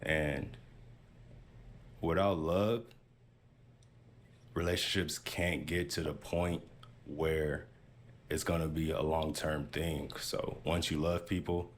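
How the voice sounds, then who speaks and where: A man in his thirties with an extremely deep voice. He is a dating show contestant, introducing himself and answering questions. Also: steady tone, slow, pauses often. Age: 30s.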